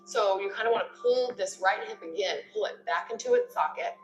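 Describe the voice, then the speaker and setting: A woman with a mid-pitched voice teaches yoga, cueing each movement in turn. She is encouraging and conversational. The room rings with a noticeable echo, and music plays clearly behind her. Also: fairly quick, highs cut off. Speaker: yoga instructor. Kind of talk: guided yoga class instruction, step-by-step cues.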